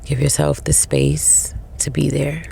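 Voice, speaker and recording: A woman leading a guided meditation in a slow, soothing way, with long pauses between short phrases. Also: deep voice, flat delivery, creaky, warm tone, slightly rough.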